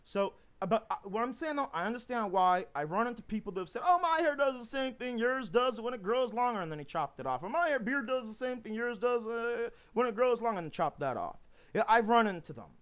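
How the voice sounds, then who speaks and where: A man's voice: very high-pitched, animated, fairly quick, fairly bright tone, clean tone. A man with a New York accent rambling emphatically to camera in a casual home video. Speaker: vlogger.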